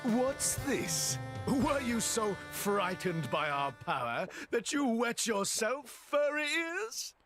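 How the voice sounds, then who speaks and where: A man's voice: very high voice, very animated, slow, music in the background, heavily acted. An actor playing a bombastic, self-important young swordsman villain in a video game cutscene, with a pompous, theatrical manner. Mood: scornful.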